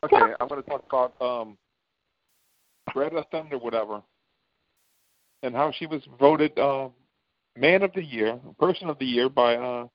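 A man's voice: medium pitch, moderate pace, long pauses, no room sound. A man on a talk show panel, debating casually in an insistent, argumentative way. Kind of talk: casual sports and current affairs debate. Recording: dry, dull lo-fi audio.

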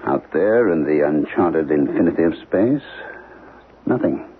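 A tinny old radio recording carries a haunted middle-aged professor. His voice is extremely low and husky, and he speaks in brooding, halting, terse phrases as a troubled man in a radio drama. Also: lively intonation, exaggerated voice. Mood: grave, distant. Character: troubled, haunted archaeology professor, married man with grown children. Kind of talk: 1970s radio drama acting. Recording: tinny, narrow-band old radio broadcast.

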